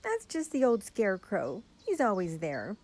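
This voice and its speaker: A woman's voice: mid-range voice, wildly swooping pitch, normal speed, darkish tone. A woman playing a sweet, carefree, teasing young farm girl in an audio drama. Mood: reassuring. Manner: playful, teasing.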